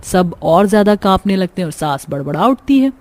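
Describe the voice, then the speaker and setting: A woman's voice: medium pitch, expressive, brisk, darkish tone. A woman reading scripted storytelling narration for a cartoon.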